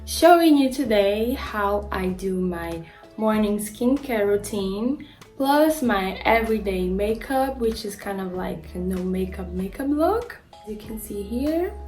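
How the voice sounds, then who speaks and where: Brazilian woman chatting over soft music. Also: medium pitch, lively intonation, measured pace. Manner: chatty, frequent "like" fillers, stumbles on product names. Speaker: beauty vlogger and model. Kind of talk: casual skincare tutorial.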